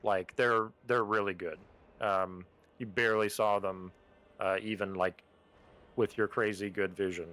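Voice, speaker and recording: A man running a tabletop game as its game master. He talks loosely and haltingly, with plenty of fillers and restarts as he describes the scene. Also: fairly low pitch, steady tone, a bit bright.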